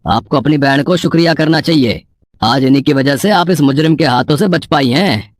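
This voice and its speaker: A man playing a stern, righteous police inspector in an animated moral story, speaking with accusing authority. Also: a little high, moderate pace, a little hiss or hum, lightly acted.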